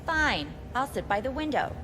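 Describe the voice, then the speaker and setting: A woman's voice: high voice, big pitch swings, moderate pace, big-room sound, noisy background. An actress playing a jaded, haughty woman in a video game, with a sardonic, cutting way of talking. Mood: scornful. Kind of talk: video game cutscene acting.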